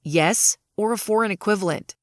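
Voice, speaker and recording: A woman's voice: medium pitch, moderate pace, no echo, clean studio sound, natural voice. A woman playing an anxious, determined woman investigating a conspiracy, in an audio drama.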